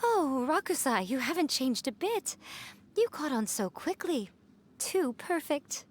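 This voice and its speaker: A woman voicing a composed, sly female fox priestess in a game, smooth and knowing. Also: high voice, big pitch swings, unhurried. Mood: amused. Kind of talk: game voice acting.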